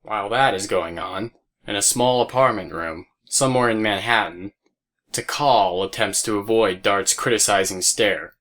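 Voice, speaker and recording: A man's voice: on the low side, moderate pace, a bit bright. A man narrating a scripted audio drama story. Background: faint music.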